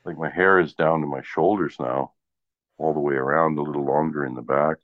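A man's voice: rumbling low, fairly even intonation, fairly quick. A man in his sixties chatting casually in a rambling, easygoing way. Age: 60s. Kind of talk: casual chat. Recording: dull lo-fi audio in echoey room.

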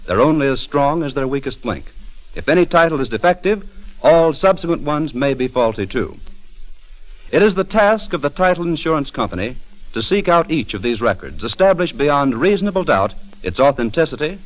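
A male announcer speaks in formal 1940s radio style. His voice sits a little high and moves emphatically up and down. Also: very animated, moderate pace, low-quality recording. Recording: 1940s radio broadcast recording.

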